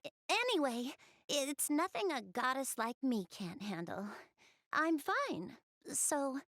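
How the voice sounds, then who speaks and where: A woman voicing a shy, easily flustered young goddess in an anime video game, speaking softly and hesitantly to the player. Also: high-pitched, sing-song, dry recording, heavily acted. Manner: hesitant, bashful, halting.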